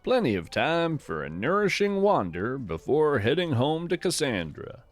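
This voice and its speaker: A man playing a gentle, folksy naturalist who hosts a scripted fiction podcast, musing poetically and with wonder about the woods. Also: a little high, very animated. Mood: curious, musing. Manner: reflective, poetic, unhurried musing. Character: gentle, wonder-struck folksy naturalist narrating a woodland walk. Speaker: podcast host.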